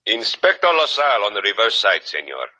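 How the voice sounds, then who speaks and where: Husky, deep inspector. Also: male voice, wildly swooping pitch, brisk, pushed and bright, exaggerated voice. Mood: politely menacing. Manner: florid, over-formal. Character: mock-polite, threatening male Cuban police inspector. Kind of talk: 1950s radio drama acting. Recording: dull, lo-fi old radio sound.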